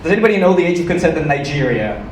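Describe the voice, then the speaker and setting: Higher-voiced Ukrainian man doing stand-up, roomy recording. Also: big pitch swings, rapid-fire, a little mellow. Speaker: stand-up comedian. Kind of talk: stand-up comedy routine to a live audience. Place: small comedy club stage, live audience. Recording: roomy, noisy club recording from a distance.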